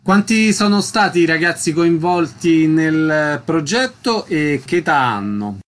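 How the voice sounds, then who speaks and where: A male radio host with a fairly high-pitched voice. He presents items and puts short, direct questions to guests. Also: expressive, measured pace, a bit bright.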